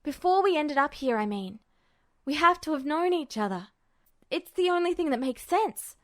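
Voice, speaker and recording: A woman voicing an excited, impatient teenage boy in a story reading, blurting things out with emphatic insistence. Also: high-pitched, moderate pace. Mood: exasperated, insistent. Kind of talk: audiobook-style character dialogue.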